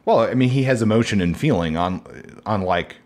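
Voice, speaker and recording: A man's voice: on the low side, lively intonation, a little fast, darkish tone. A man co-hosting a podcast, talking casually and a little hesitantly, and moving the discussion along. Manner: hesitant, many fillers, steers the discussion. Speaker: podcast co-host.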